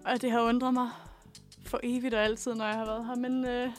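A woman's voice: a little high, flat delivery, soft background music. A young adult woman co-hosting casual student radio, talking playfully and informally with a teasing streak in a studio. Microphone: studio microphone.

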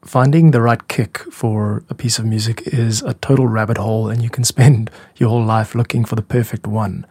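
A man's voice: lowish voice, steady tone, moderate pace, hardly any pauses, darkish tone, breathy edge, no room sound. A man hosting a music podcast, explaining production work in a conversational, reflective and demonstrative way. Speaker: music podcast host and composer.

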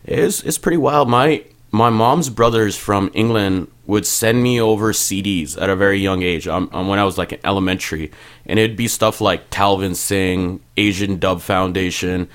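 A man in his thirties with a notably low, deep voice chats loosely as a guest on an interview show. He grew up in Canada with Punjabi as his first language, and he rambles, fills his sentences with "like" and laughs easily. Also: normal speed. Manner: relaxed and rambling, heavy use of "like", laughs often. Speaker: music producer, guest. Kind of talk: casual podcast chat.

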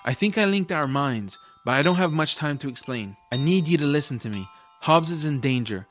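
A man's voice: fairly high pitch, animated, brisk, music in the background, lightly acted. Man as an earnest young boy hero narrating. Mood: determined. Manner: earnest, youthful phrasing. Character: earnest young boy hero, self-doubting but determined. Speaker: first-person narrator. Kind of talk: dramatic audiobook narration and dialogue.

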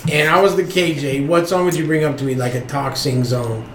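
A man co-hosting a podcast in casual chat, joking with quick asides and laughing often. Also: a little high, steady tone, reverberant, a little hiss or hum. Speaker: podcast co-host.

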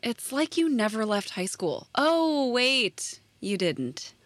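A woman playing a sardonic, deadpan high school staffer in a naturalistic audio drama. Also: slow, dry recording. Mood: needling, resentful.

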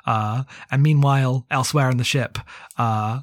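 A man co-hosting a podcast, talking through a book casually in a halting, rambling way full of asides. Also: mid-range voice, steady tone, no room sound, studio quality. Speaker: podcast co-host. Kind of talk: casual book discussion with plot recap.

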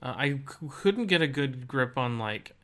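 Bright, forceful man in casual conversation. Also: mid-range voice, lively intonation, measured pace. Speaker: podcast co-host. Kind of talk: casual video game discussion.

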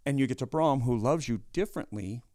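A man's voice: mid-range voice, a little fast, warm tone, no echo, studio quality. A middle-aged man, a pastor, hosting a casual interview in a chatty, joking way with many restarts. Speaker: pastor and host.

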